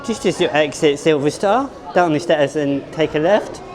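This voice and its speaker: A man vlogging at a theme park, rambling and unsure of himself, hoarse as he is losing his voice. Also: a little high, moderate pace. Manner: rambling, self-correcting, unsure. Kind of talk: casual vlog commentary.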